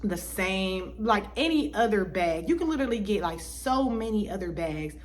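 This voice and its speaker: A young woman talks straight to her viewers in a casual vlog. Her voice sits at a middle pitch for a woman, and her speech is loose and chatty, full of fillers and restarts as she works through her thoughts. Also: expressive, moderate pace. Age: 20s. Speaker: vlogger.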